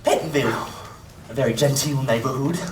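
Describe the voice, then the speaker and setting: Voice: medium pitch, brisk, lightly acted. An actor playing an avaricious, scheming old crime boss in a radio play on stage. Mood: sly, menacing. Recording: echoey stage from far off, faint noise.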